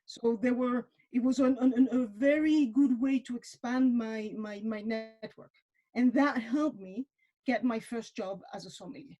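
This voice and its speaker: A woman's voice: on the high side, unhurried, dark tone, highs cut off. A woman in her forties from Mexico, talking casually as a webinar guest, with many fillers and repeated words. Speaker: webinar guest, wine industry professional.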